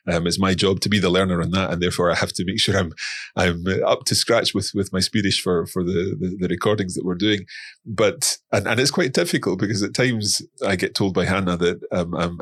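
A man's voice: low voice, fairly bright tone, no echo, studio quality. A man, a guest on a podcast, talking casually and often repeating words as he searches for them. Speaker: podcast guest, language course creator. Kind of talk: casual interview talk.

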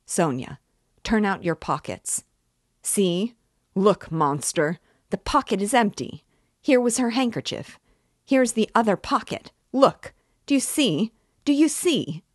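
A woman reading an audiobook voices a frantic, desperate widow who cries out and pleads in a rising, shrill voice. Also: slow pace, no room sound, studio quality. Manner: crying out, pleading, rising into shrieks. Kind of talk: audiobook character voice.

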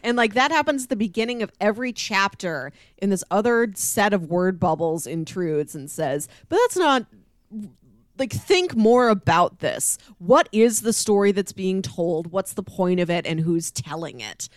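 A woman's voice: mid-range voice, very animated, moderate pace, dry recording, studio quality. A woman in casual podcast discussion, conversational, slipping into acted-out quotes as she explains. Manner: conversational, acts out quoted lines.

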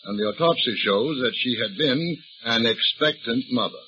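An actor playing a shrewd, folksy older police detective, drawling and calmly needling a suspect in a 1930s radio drama. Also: male voice, mid-range voice, normal speed, slightly rough, full character voice. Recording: dull old radio recording with faint hiss.

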